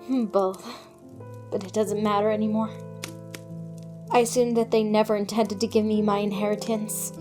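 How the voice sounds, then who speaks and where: A woman voicing a gentle, frightened young heiress in a dramatic comic dub, speaking politely and earnestly. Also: medium pitch, lightly acted. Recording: voice over background music.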